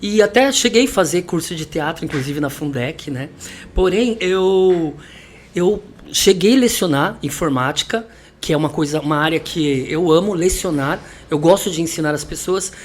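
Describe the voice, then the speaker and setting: Male podcast guest from Salvador, reflective and chatty, slightly high voice, faint background noise. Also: normal speed. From Salvador, Brazil. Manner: chatty, reflective storytelling with light laughs. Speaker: musician and vocal coach guest. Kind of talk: podcast interview.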